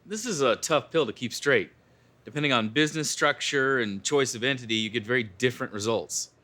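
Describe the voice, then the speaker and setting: A man's voice: medium pitch, brisk, pushed and bright, lightly acted. A man playing a hard-boiled private investigator in a comic noir drama, speaking in a clipped, wry way. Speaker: lead detective character. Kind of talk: noir parody acting.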